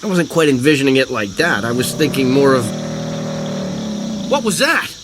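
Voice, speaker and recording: An actor playing an earnest documentary filmmaker, hesitant and excitable, in an audio drama. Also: male voice, medium pitch, moderate pace, noisy background, close to an everyday voice. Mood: hesitant, nervous. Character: earnest, easily flustered male documentary filmmaker. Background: faint crickets and insects.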